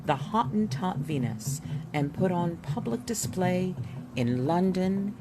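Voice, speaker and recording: A woman reading a history book aloud expressively, with theatrical emphasis and pauses. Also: lowish voice, animated, heavy background noise. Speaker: book reader. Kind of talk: expressive read-aloud of an illustrated history book, with spoken asides. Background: music.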